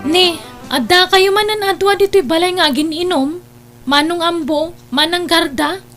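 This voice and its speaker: A woman with an Ilocano accent playing a devout, gently scolding younger sister in a radio comedy drama. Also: very high voice, moderate pace, faint music behind.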